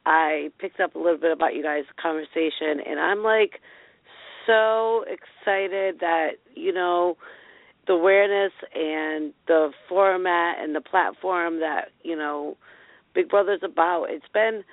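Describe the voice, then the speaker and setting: A woman with a raspy voice calling in to a talk show, rambling excitedly. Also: lowish voice, measured pace, no room sound. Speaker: caller. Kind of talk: casual call-in chat. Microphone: phone. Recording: tinny phone line, dry.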